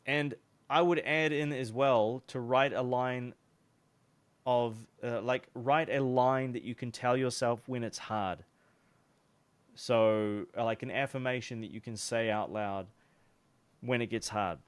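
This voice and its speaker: A man's voice: medium pitch, steady tone, a little slow, smooth, no room sound. A young man with a New Zealand accent giving casual advice to camera in a loose, chatty way, with lots of fillers and restarts. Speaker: online business coach.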